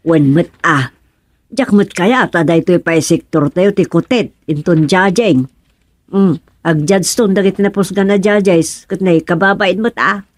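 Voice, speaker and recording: Plain-spoken filipina neighbour lady, expressive, chatty, radio drama comedy. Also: on the low side, unhurried. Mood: agreeable. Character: plain-spoken neighbourhood resident organising communal work.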